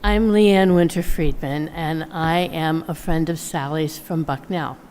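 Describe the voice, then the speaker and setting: Woman, seventies, wry eulogy speaker, lowish pitch, very slow delivery, reverberant hall. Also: a little mellow, slight background noise. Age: 70s. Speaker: college friend giving a eulogy. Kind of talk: reminiscing memorial speech. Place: large church, at a memorial gathering. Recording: long echo of a large church hall.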